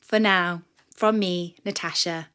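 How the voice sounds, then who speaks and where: A woman narrating a children's story from a script with expressive, dramatic delivery. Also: lowish voice, takes it slowly, clean tone.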